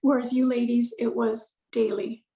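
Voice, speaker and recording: A woman with a gravelly voice talking casually over a video call, full of fillers and self-corrections. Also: a little high, level tone, moderate pace, warm tone, roomy sound. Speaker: client giving a testimonial. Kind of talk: casual conversational testimonial.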